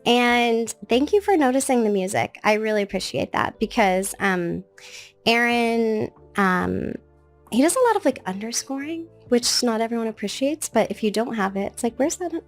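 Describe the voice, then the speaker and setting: A woman in her late thirties, a guest in a casual interview, with a naturally high, sing-song voice that jumps up into bright baby-talk tones when she quotes. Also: animated, moderate pace, faint music behind. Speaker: guest, children's show creator.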